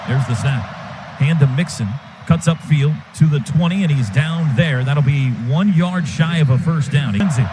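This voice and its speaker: Radio play-by-play man, high voice, rapid clipped calls, noisy stadium broadcast sound. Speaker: play-by-play announcer.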